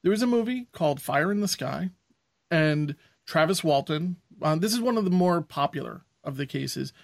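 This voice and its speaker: A man with a fairly high voice talks through a casual podcast chat, frank and rambling as he lays out his opinions. The sound is dry and close, with no room around him. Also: animated, normal speed, gravelly, no room sound. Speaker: podcast co-host.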